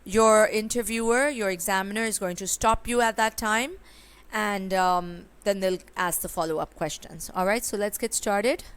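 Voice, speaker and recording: A woman's voice: mid-range voice, normal speed, clean tone. A young woman, teaching speaking exam tips in a conversational way with many fillers. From Pakistan. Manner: conversational, with frequent fillers and rhetorical "right?". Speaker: IELTS speaking tutor. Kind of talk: exam tips lesson with sample answer.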